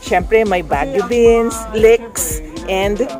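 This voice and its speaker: A woman's voice: mid-range voice, extremely slow. A middle-aged woman with a Filipino accent narrating a family food vlog with exclamations and cheery greetings. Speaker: vlogger. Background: music.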